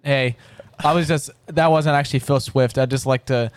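A man's voice: mid-range voice, fairly even intonation, moderate pace, smooth. A young man co-hosting a casual podcast, laid-back and joking. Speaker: podcast co-host.

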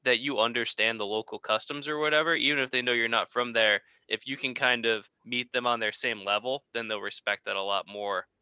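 A man with a sharp, bright voice explains insistently, pressing his point again and again. The sound is close and dry, with no room echo. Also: medium pitch, steady tone, fairly quick, few pauses, clean tone. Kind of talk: casual chat.

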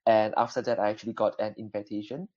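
Young man, hesitant, filler words, flat delivery, lo-fi sound. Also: lowish voice, quick pace. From Malaysia. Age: young adult. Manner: hesitant, many fillers like "kind of". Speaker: panelist, final-year law student. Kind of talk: webinar panel answer.

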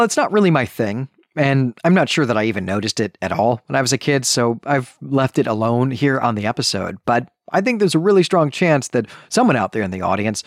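A man speaks as a solo podcast host, reviewing in a conversational but analytical style. His mid-pitched voice moves up and down a great deal as he stresses key words. The sound is dry, with very little room in it. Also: no room sound.

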